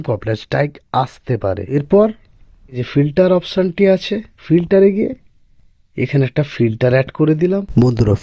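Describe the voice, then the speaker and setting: A man's voice: fairly high pitch, warm tone, no room sound. A man narrating a step-by-step screen tutorial as host, often repeating himself and addressing viewers as friends.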